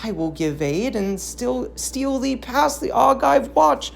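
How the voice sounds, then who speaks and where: A woman's voice: fairly high pitch, big pitch swings, slow pace, gravelly, slight background noise, heavily acted. A woman voicing an aged, grieving queen in a declamatory, impassioned and accusing reading of a tragedy. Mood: urgent pleading.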